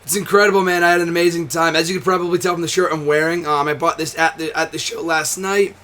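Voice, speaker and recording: A hoarse, tired young man hosting a wrestling show, chatting casually to camera with a nearly gone voice, rambling, swearing and restarting often. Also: fairly high pitch, fairly even intonation, quick pace, bright, forceful tone. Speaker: wrestling commentary host. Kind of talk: casual to-camera vlog chat.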